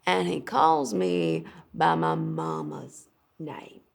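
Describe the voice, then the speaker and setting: Young actress as weary abused daughter, gravelly creak, unhurried halting monologue. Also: female voice, deep voice, sing-song, heavily acted. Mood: halting, pained. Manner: halting, deliberate storytelling. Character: abused daughter, weary and protective, recounting trauma. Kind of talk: dramatic stage-style monologue.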